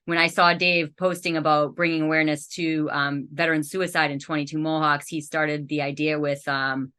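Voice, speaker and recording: Female guest from Massachusetts, deep, bright voice, chatty. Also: level tone, normal speed, clean tone. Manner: chatty, with frequent "like" and "um". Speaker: nonprofit co-founder guest. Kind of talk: casual interview chat.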